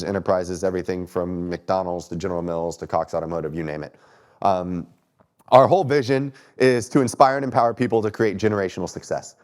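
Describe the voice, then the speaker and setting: A man's voice: on the low side, darkish tone. Texan man, 30s, keynote speaker, a bit stuffy, joking, chatty, asks the crowd things. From Texas. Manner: conversational, self-deprecating, asks audience questions. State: stuffy from allergies. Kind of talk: conference talk. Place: stage in a lecture hall.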